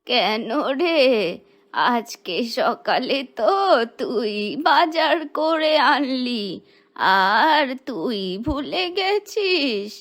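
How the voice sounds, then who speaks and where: A woman plays a doting village matriarch in a cartoon folk tale. The voice is very high and swings widely, with long, coaxing, motherly phrases. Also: sing-song, very slow, fairly bright tone, full character voice. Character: doting older village mother of grown landlord son.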